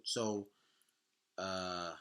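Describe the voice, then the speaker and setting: A man hosting a podcast in casual chat, talking in a slangy, halting way and often repeating himself. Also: sits low, fairly even intonation, a bit bright. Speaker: podcast host.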